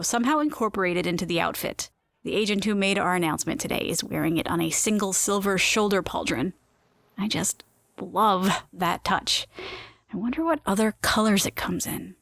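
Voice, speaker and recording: A woman's voice: mid-range voice, vocal fry, fairly bright tone, no room sound. A woman host with a mid-range voice, talking in a playful, gushing and theatrical way. The sound is close and dry, with no room around her. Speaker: podcast host. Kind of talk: chatty in-world hosting and reading.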